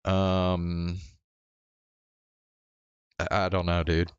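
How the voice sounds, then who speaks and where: A man with a low, smooth voice talks slowly and haltingly, as if thinking aloud on a casual livestream. He stammers into restarts and swears offhandedly. The sound is close and dry. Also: monotone, dry recording, muffled recording. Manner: casually profane. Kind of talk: casual rambling stream chat.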